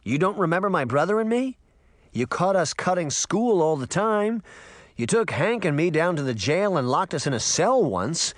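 Man acting a breezy teasing uncle, lively swoops. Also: on the high side, normal speed, no room sound, studio quality, pitched above the performer's own voice. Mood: surprised, insistent. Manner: playful, ribbing, casual. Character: breezy, teasing grown uncle, jokey and familiar. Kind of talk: audiobook character voice.

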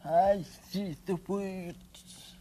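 A man playing an earnest deaf professor on children's television, with imprecisely articulated deaf speech in short, halting, direct phrases. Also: high voice, fairly even intonation, warm tone, a little hiss or hum. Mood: earnest, explaining.

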